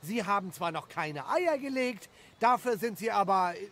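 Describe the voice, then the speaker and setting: Squeaky goofy villager. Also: male voice, expressive, normal speed, a bit bright. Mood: eager. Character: goofy, simple-minded male villager selling fish.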